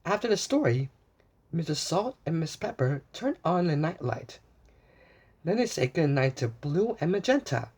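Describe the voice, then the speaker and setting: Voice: mid-range voice, big pitch swings, normal speed, a little mellow, husky. A story time host reading a storybook aloud to young children, with exclamations, asides and repeated phrases.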